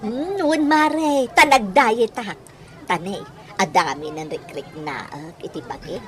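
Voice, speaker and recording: An actress playing a gossipy, flattering moneylender in an Ilocano radio comedy, with an Ilocano accent and a drawling, gushing manner. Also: female voice, medium pitch, slow, over a noisy crowd, lightly acted. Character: gossipy, flattering woman moneylender, an old friend.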